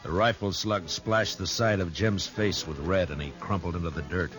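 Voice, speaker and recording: An actor playing a calm, steady frontier marshal who also narrates, in a 1950s radio drama. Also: male voice, low voice, natural voice. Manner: calm, firm, measured. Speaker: narrator and lead. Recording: old radio broadcast with music bed.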